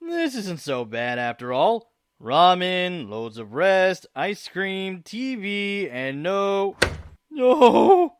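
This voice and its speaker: A man voicing an excitable male doctor stuck in a hospital bed, in a fan audio drama. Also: high-pitched, extremely slow, background noise, exaggerated voice. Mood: cheerful.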